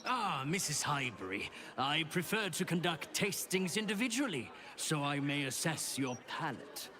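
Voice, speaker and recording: Fussy polite game wine connoisseur, highish voice, crowd behind. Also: male voice, big pitch swings, normal speed. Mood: suave. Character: fussy, courteous, refined wine master. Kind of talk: game dialogue acting.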